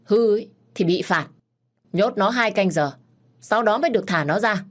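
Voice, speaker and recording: A woman's voice: medium pitch, expressive, moderate pace, pitched above the performer's own voice, natural voice. A woman voicing a stern, short-tempered rich landowner who talks in a curt, scolding way.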